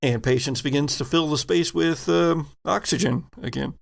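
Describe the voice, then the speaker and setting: A man improvising narration as game master of a tabletop role-playing game, halting as he searches for words. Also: fairly high pitch, vocal fry.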